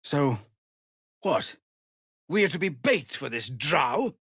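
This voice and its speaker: An actor playing a weary, honourable middle-aged male tiefling soldier in a fantasy game, speaking in an earnest, formal, measured way. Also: fairly high pitch, very animated, a bit bright, husky, no room sound, clean studio sound, heavily acted. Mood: scornful. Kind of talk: dramatic fantasy game dialogue.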